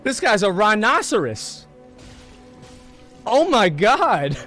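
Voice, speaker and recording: A man's voice: sits high, sing-song, slow, a bit bright, soft background music. A man streaming a game live, rambling in casual, profane chat with many restarts.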